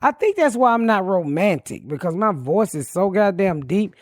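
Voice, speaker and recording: Deep-voiced, brash woman host, blunt and profane, dry close studio sound. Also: very animated, normal speed, no room sound. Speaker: comedian host. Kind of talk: casual podcast chat.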